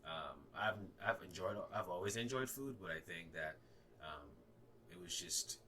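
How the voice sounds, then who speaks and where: A man's voice: low-pitched, expressive, a little slow, sharp tone, slight background noise. A young man in his twenties from Ghana, a native Ga speaker with a slight Ghanaian accent, talking hesitantly with many fillers and restarts in a casual podcast conversation. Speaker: guest.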